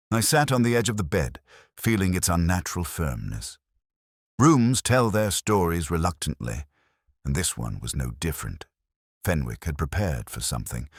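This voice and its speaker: A man reading first-person detective fiction in a measured, literary, melancholic and introspective manner. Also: rumbling low, breathy edge, dry recording, clean studio sound. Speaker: narrator of a detective story. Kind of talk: first-person scripted mystery narration.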